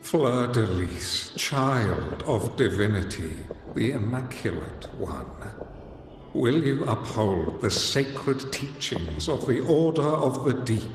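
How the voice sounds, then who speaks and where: A man playing a solemn high priest in a video game, speaking gravely and formally in a ceremonial manner. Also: big pitch swings, slow. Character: solemn male high priest crowning a holy maiden. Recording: long cathedral-like reverb, music behind.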